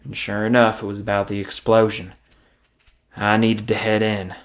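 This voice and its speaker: A man playing an observant police officer, telling his story in a halting, confiding first-person audio drama narration. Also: lowish voice, flat delivery, lightly acted. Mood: wry, measured. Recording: music playing behind the voice. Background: music.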